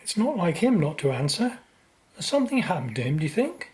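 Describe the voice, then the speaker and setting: A woman's voice: on the low side, big pitch swings, fairly quick, a little mellow. A woman playing an earnest, uneasy young man in a radio play. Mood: worried. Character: anxious, earnest young man troubled by dreams.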